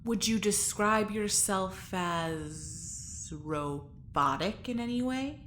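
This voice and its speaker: A woman's voice: mid-range voice, lively intonation, slow pace, a bit bright, slight background noise. A woman acting in a naturalistic audio drama as a blunt, unimpressed character with dry wit. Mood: impatient.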